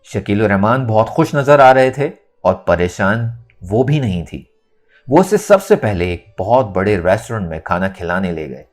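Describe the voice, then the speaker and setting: A man narrating a scripted novel in a dramatic, expressive storytelling manner. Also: fairly low pitch, normal speed, soft background music. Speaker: audiobook narrator.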